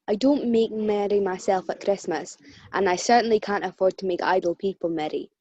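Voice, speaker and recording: A woman's voice: medium pitch, a little fast, warm tone, dull audio, lightly acted. A woman playing a miserly, scornful old man in a radio play, with a curt, grumbling delivery. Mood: cold, scornful.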